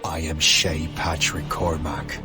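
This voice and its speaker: Male, hardened game antihero, extremely low voice, slow and grave, music behind. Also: little pitch movement. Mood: solemn resolve. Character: grim, resolute male Templar assassin-hunter, hardened.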